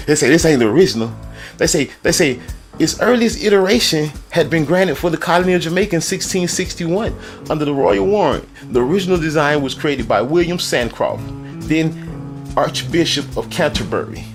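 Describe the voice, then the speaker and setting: A man with a baritone voice hosting a stream, talking in an excitable, joking and profane way. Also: normal speed. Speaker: streamer host. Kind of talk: loud ranting commentary. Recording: music playing underneath.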